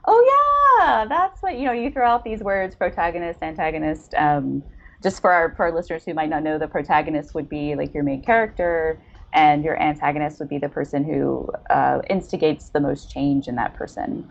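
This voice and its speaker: A woman's voice: on the low side, vocal fry, muffled recording. A woman co-hosting a podcast in an effusive, appreciative, casual chatting style. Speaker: podcast co-host interviewing a writer. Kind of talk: casual chat.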